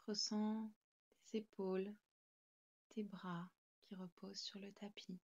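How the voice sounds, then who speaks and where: Slow, calm woman guiding meditation. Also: mid-range voice, muffled recording. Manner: calm, soothing, gentle. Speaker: yoga nidra guide. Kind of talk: guided meditation with slow, spaced instructions.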